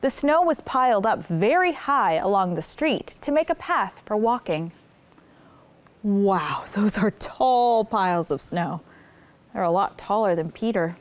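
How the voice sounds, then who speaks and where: A woman's voice: medium pitch, expressive, a little slow. A woman hosting story time, reading a picture book aloud expressively and putting simple questions to young listeners. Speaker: children's story time host.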